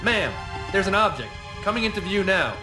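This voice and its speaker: A dutiful ship officer, reports in a high voice with clipped urgency. He belongs to a video game cutscene, with loud music behind him. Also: big pitch swings, moderate pace. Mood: alert.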